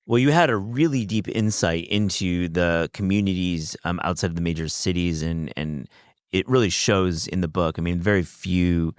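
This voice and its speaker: A man with a very low, smooth voice hosts a studio interview. He gushes with praise and trips over his words, repeating them as he goes. The sound is close and dry. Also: moderate pace, dry recording. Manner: effusive, stumbling repetitions. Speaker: podcast host. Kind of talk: casual interview chat. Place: recording studio.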